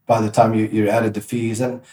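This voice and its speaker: A man's voice: lowish voice, little pitch movement, brisk, dark tone, echoey room, a little hiss or hum. A man guest on a podcast, telling stories about his work in a casual, rambling way with many restarts. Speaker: podcast guest, real estate agent. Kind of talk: casual interview storytelling.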